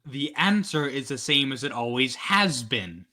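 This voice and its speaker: Man playing a bright-voiced, arch radio-thriller thief. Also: medium pitch, lively intonation, measured pace. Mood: cool defiance. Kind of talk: 1940s radio thriller acting.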